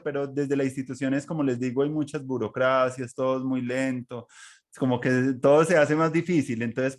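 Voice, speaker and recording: A man's voice: medium pitch, darkish tone. A Colombian man hosting a conversational interview over an online call, rambling as he thinks aloud. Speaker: podcast host and interviewer.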